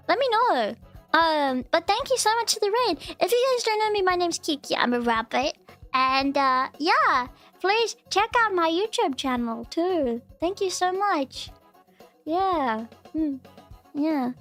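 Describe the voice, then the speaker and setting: A woman chatting casually on her live stream, with a cute, high voice, rambling and giggling through restarts and fillers. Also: very animated, measured pace, a bit bright. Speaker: VTuber streamer. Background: faint background music.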